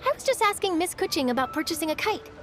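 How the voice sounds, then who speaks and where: An actress voicing a cheerful young woman reporter in a video game, bright and bubbly with quick asides. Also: extremely high pitch, lively intonation, music in the background. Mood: upbeat.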